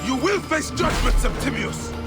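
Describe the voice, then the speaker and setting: A man's voice: very high-pitched, animated, normal speed, over loud music. An actor playing a grieving warrior father bent on revenge, intense and defiant, in a video game. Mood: defiant fury. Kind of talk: dramatic video game acting.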